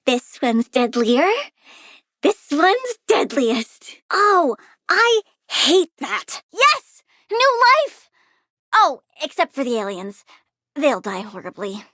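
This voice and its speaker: A woman's voice: high voice, sing-song, takes it slowly, fairly bright tone, no room sound, studio quality, exaggerated voice. A woman playing a childlike, bratty young plant-girl villain in a video game, perky, blunt and gleefully bloodthirsty. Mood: petulant, huffy, then gleeful, menacing. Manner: petulant, perky, blunt, sassy. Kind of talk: video game character voice lines.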